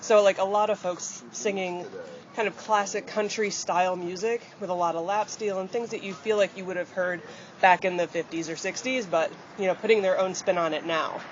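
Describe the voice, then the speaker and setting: A woman from rural Michigan, a country singer, answering interview questions in a chatty, open way with a somewhat deep voice. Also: steady tone, a little fast. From rural Michigan, USA. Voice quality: deeper voice. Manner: chatty and open, with frequent fillers and light laughs. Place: outdoors on a city street. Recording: dull outdoor audio with loud traffic.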